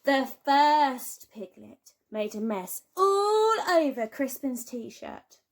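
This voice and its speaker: A woman reads a children's story like a primary school teacher. Her voice is high and very animated, and she takes her time, stretching words for dramatic emphasis. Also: takes it slowly.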